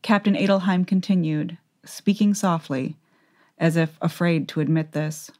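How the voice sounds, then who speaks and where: Female narrator for fantasy audiobook: low register, measured, dramatic delivery. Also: fairly even intonation, warm tone.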